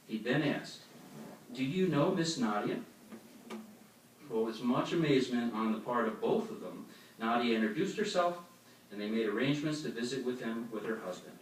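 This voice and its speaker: A man delivers an instructional religious talk from some distance off the microphone. His voice rings with heavy room echo over faint noise. He explains things plainly, as a speaker addressing a congregation. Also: medium pitch. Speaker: congregation speaker giving a demonstration. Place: echoey meeting hall before an audience. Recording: echoey hall, distant mic, faint noise.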